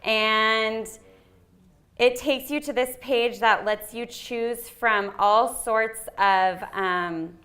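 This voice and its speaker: Chatty woman presenter in an echoey room. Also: medium pitch. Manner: chatty, frequent ums, loud voice. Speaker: workshop presenter. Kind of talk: live training presentation. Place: meeting room with a live audience. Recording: roomy echoey hall sound.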